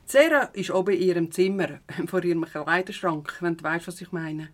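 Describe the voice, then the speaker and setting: A woman's voice: fairly low pitch, normal speed, close to an everyday voice. A woman with a Swiss German accent playing an easygoing, supportive mother of a teenager in a youth radio play. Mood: cheerful welcome. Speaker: actor in an audio drama.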